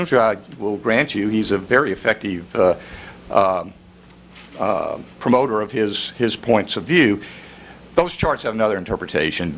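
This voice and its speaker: Lowish-voiced man, hesitant panel commentator. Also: a bit bright, slight background noise. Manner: measured, hesitant, formal. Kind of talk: unscripted academic discussion remarks.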